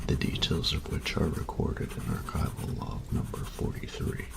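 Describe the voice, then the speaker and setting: A man playing a meticulous, reclusive artificer in an audio roleplay, dictating formally and precisely in a gravelly, breathy voice. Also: extremely low pitch, heavily acted, low-quality recording. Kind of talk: scripted audio roleplay acting.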